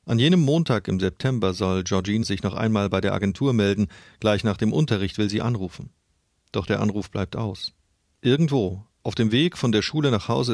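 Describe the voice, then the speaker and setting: A man's voice: lowish voice, gravelly, darkish tone, dry recording, clean studio sound. A man reading scripted true-crime narration. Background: music.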